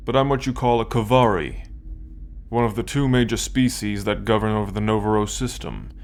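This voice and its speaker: A man's voice: fairly low pitch, natural voice. A man playing an arrogant, possessive alien military conqueror in an ASMR roleplay, commanding and condescending as he addresses the listener. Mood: proud, explanatory. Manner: commanding, condescending, slow deliberate delivery.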